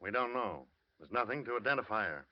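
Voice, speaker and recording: An actor playing a blunt, insistent American police lieutenant in old-time radio drama style. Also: male voice, fairly low pitch, lively intonation, fast talker, lightly acted. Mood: firm, pressing. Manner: insistent, terse, hard-nosed. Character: blunt, pressing male police lieutenant questioning a witness. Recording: muffled old radio broadcast recording.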